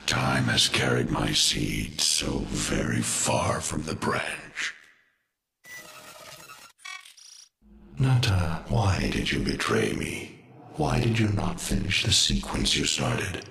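An actor playing an ancient, mournful alien destroyer with a brooding, ominous delivery over music. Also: male voice, a bass voice, very animated, takes it slowly, vocal fry, bright, forceful tone, reverberant, exaggerated voice. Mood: betrayed, searching.

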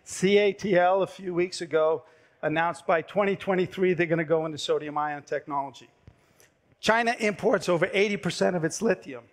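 A middle-aged man pitches his company from a big stage, speaking through a headset mic. His voice sits a little high for a man and is turning hoarse as he goes. He delivers it in a brisk, persuasive sales manner, with the odd filler. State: losing his voice. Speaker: startup pitch presenter. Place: large dark conference stage.

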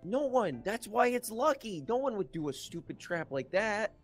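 High voice, man acting earnest dim roommate, childlike manner, game roleplay, faint music. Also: big pitch swings, moderate pace. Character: naive, earnest, dim-witted male roommate. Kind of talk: improvised Minecraft roleplay acting.

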